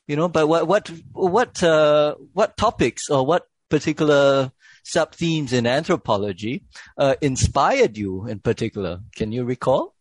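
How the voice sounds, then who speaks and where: A man's voice: fairly high pitch, expressive, a little slow, no room sound. A man interviewing a guest, asking questions with hesitant starts and repeated words.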